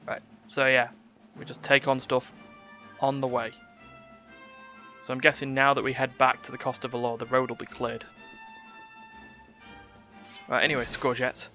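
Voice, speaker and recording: A man's voice: mid-range voice, level tone, normal speed, long pauses, sharp tone. A man streaming a video game with casual commentary, tossing in playful sound words and asides. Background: game music.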